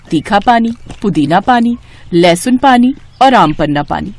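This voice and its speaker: A woman's voice: medium pitch, a little mellow, a little hiss or hum. A woman narrating a scripted moral story for a cartoon.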